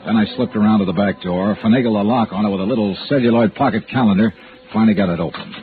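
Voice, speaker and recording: An actor playing a shrewd, hard-boiled insurance investigator in a vintage radio noir, with wry, clipped, emphatic delivery. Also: male voice, lowish voice, racing, close to an everyday voice. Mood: wry narrating. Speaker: lead detective and narrator. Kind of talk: 1950s radio noir narration and acting. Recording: tinny old radio recording, music behind.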